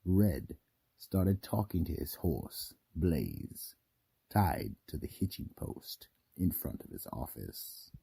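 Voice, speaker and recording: A man narrating a scripted radio mystery drama in a theatrical, deliberate storytelling manner. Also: low voice, very animated, creaky, noisy background. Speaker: narrator and host.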